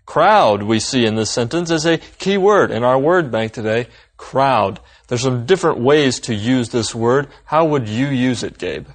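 Man, lesson lead, medium pitch, even guiding delivery. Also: measured pace, few pauses. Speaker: language lesson host. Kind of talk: scripted lesson dialogue.

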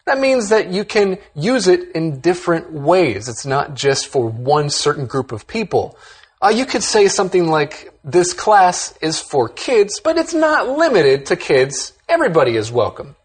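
An English lesson host reads vocabulary explanations and example sentences in an upbeat way. The voice is breathy and a little husky, and the host talks like a teacher. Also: mid-range voice, big pitch swings, unhurried. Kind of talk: scripted English lesson narration.

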